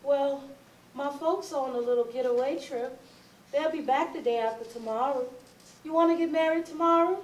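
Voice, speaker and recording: A woman's voice: sits high, normal speed, natural voice. An actress playing a stubborn, suspicious mother in a staged reading, speaking folksy Southern dialect. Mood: hopeful, hesitant. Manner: folksy, colloquial, wavering between coaxing and fury. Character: Emma, stubborn, suspicious mother who stalls and rages. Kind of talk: staged readers theatre, Southern period dialect. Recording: echoey, distant, noisy stage recording.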